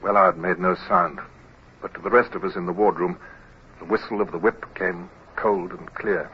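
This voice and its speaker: An actor playing an earnest, resolute naval lieutenant in an old radio drama, speaking in a grave, measured, firm way. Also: male voice, low-pitched, fairly even intonation, close to an everyday voice. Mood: grave narration. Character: earnest, resolute young male naval lieutenant, narrating his tale. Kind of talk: old-time radio drama acting and narration. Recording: dull, noisy old radio recording.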